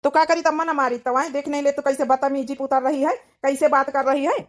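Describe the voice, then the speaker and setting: A woman's voice: high-pitched, steady tone, brisk, lightly acted. A woman voicing a hot-tempered young village husband in a comedy cartoon, scolding and grumbling, with an Awadhi accent. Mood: angry scolding.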